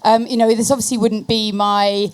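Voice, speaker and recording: A woman's voice: medium pitch, little pitch movement, moderate pace, smooth. A woman speaking on a panel as a media agency expert advising brands. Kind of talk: panel discussion talk.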